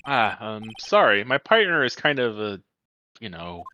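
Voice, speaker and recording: A woman's voice: very deep voice, very animated, measured pace, bright, forceful tone, faint music behind, exaggerated voice. A woman voicing an awkward, apologetic young male lawyer with a hesitant, wry manner in an amateur game dub. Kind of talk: amateur group voice-over of a video game.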